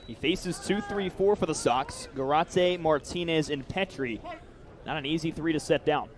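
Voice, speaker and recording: Higher-voiced American man calling baseball, crowd behind. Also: normal speed. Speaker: play-by-play baseball announcer. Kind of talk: live radio play-by-play commentary. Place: outdoor baseball stadium broadcast. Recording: live outdoor ballpark crowd noise. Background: crowd and outdoor ballpark ambience.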